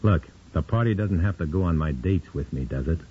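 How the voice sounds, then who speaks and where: An actor playing a tense undercover agent in 1950s radio drama style, clipped and guarded, on an old radio recording. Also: male voice, deep voice, expressive, a little fast, breathy edge, phone-quality sound. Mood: guarded, evasive. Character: tense undercover agent posing as a party man.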